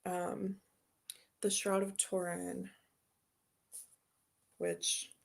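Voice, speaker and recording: A woman's voice: mid-range voice, sing-song, extremely slow, vocal fry. A woman hosting a tarot channel, chatting casually and rambling as she unboxes decks and answers viewer comments.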